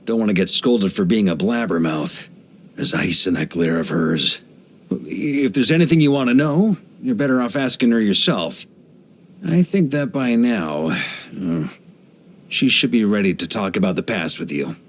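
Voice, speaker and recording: An actor playing a hearty, easygoing veteran knight grandmaster in a video game, speaking in an expressive, playful, conversational way. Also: male voice, lowish voice, moderate pace. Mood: guarded. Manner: jovial, expressive, playful, chuckling asides.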